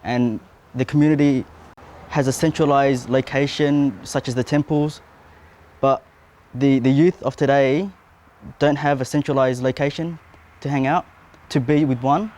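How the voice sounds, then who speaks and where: A young man explaining in an interview with deliberate, somewhat formal wording. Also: mid-range voice, steady tone, darkish tone. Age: young adult.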